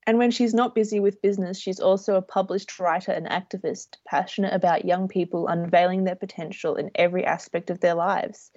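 A woman's voice: on the low side, fairly even intonation, fairly quick, few pauses, a little mellow, no echo. Woman, dry and slightly dull sound. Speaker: radio show co-host. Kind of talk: conversational interviewing.

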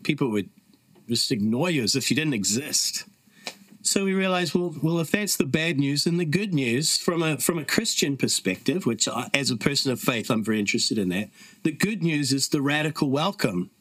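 A man's voice: a little high, expressive, moderate pace, fairly bright tone, dry recording, rough recording. A middle-aged man with a New Zealand accent, a podcast guest telling stories in a reflective, earnest way. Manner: reflective, earnest, with frequent fillers. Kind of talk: casual conversational storytelling.

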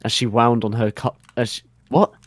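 A man's voice: medium pitch, fairly even intonation, normal speed, husky, no echo. A young adult man giving a dramatic comic narration, prone to giggling as he reads. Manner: giggly, often cracks up while reading. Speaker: narrator of a comedy fan fiction reading. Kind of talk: dramatic narration breaking into amused banter.

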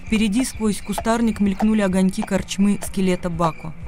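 A man's voice: sits high, steady tone, normal speed, noisy background. A man narrating a radio drama in a literary, scripted storytelling style. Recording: studio radio play over music. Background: music.

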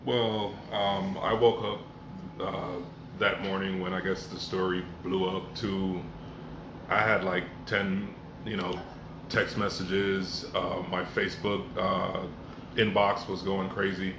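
A man's voice: low voice, flat delivery, slow. A man in his forties, originally from Jamaica and raised in Miami, speaking earnestly and reflectively as a podcast guest. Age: 40s. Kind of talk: casual conversational podcast. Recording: echoey room with background noise.

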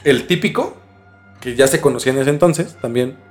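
A man's voice: mid-range voice, lively intonation, normal speed. A man with a Mexican accent telling a story in a casual, slangy podcast chat as host. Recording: music bed behind the talk. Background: music.

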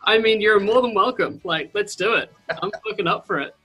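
A man with a New Zealand accent hosting a podcast interview over a video call, chatty and excitable, swearing casually. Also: high voice, fairly quick, husky, poor audio. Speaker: podcast host.

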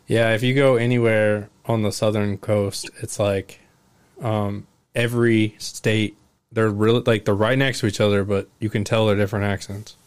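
A man's voice: lowish voice, little pitch movement, normal speed, clean tone, no room sound. A man from Oklahoma with a mild Oklahoman accent, chatting casually and rambling with many fillers. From Oklahoma, USA. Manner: rambling, filler-heavy, laughs often. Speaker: conversation partner. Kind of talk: casual chat.

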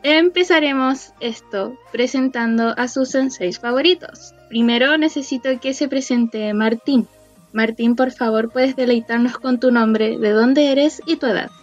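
A woman's voice: on the high side, a little slow, soft background music. A woman hosting the chat, inviting each guest to introduce themselves in a playfully formal way. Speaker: host. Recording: slightly muffled lo-fi mic.

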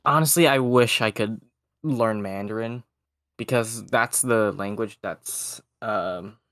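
A young man whose first language is Cantonese, chatting casually and hesitantly, often pausing to search for words. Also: lowish voice, a bit bright, no room sound, clean studio sound. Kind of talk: casual chat.

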